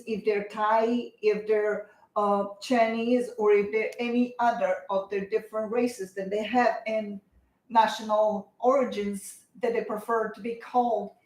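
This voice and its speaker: A woman's voice: mid-range voice, darkish tone, echoey room, low-quality recording. A woman co-hosting a talk show, speaking emphatically and insistently, as if lecturing. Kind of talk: opinionated talk show discussion.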